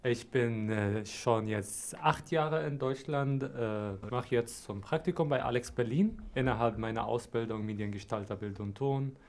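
A man's voice: mid-range voice, moderate pace, gravelly, few pauses. Friendly man in his twenties. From Syria. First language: Arabic. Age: 20s. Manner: friendly, thoughtful, slightly non-native phrasing. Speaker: interview guest. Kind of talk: casual interview answers.

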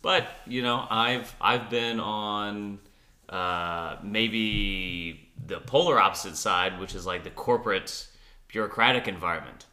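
Co-host dude, very low pitch but bright edge, dry jokes. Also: male voice, slow. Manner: dry joking asides. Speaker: podcast co-host. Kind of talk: casual chat.

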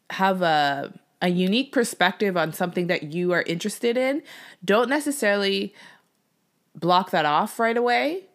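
A woman hosting a podcast in a casual, conversational way, addressing listeners in an informal, folksy style. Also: fairly low pitch. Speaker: podcast host interviewing her father.

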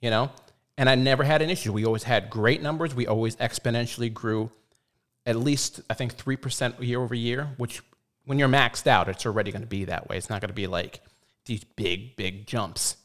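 A man with a medium-pitched voice speaks bluntly and opinionatedly in a relaxed conversation. He swears casually and argues his points with conviction. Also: a little fast. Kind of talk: casual chat.

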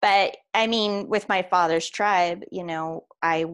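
A woman's voice: lowish voice, steady tone, takes it slowly, clean tone. A woman in casual conversation, reflective and chatty, trailing off with fillers.

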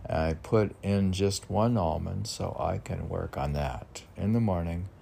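A man talking casually and haltingly, musing and muttering to himself as he reads aloud. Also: sits low, vocal fry, mellow, a little hiss or hum. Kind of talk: casual rambling talk while reading poems aloud.

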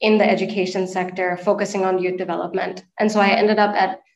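A woman's voice: medium pitch, flat delivery, quick pace. Echoey young woman from Sri Lanka. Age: late 20s. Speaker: podcast guest, nonprofit and social enterprise manager. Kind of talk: conversational interview answers. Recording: echoey room, dull lo-fi call audio.

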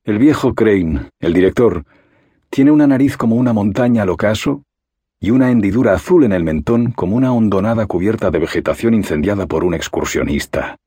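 A man voicing an observant, imaginative schoolboy in an audiobook, speaking in a wry and lively way. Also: lowish voice, brisk, breathy edge, no echo, clean studio sound. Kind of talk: audiobook character reading.

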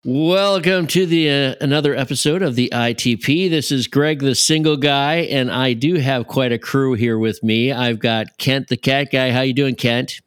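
A man's voice: mid-range voice, moderate pace, hardly any pauses, clear, resonant tone, no echo, clean studio sound. Upbeat man hosting a podcast. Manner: upbeat, friendly introductions. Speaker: podcast host.

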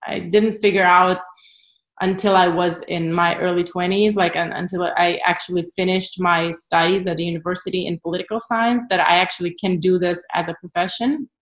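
A woman's voice: lowish voice, a little fast. Ukrainian-born woman, roomy muffled sound. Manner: relaxed, frequent fillers and restarts. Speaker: guest, travel photographer. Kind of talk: casual interview answers.

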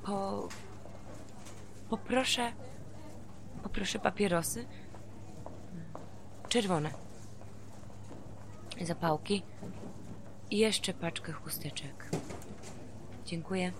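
A grieving, homeless ex-convict in an audio drama. She is curt, guarded and bitter, with a mid-pitched voice set against clearly audible noise. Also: very slow, long pauses. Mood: subdued, guarded. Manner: curt, guarded, swears when pressed. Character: bitter, grieving homeless woman just released from prison. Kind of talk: naturalistic Polish radio drama acting.

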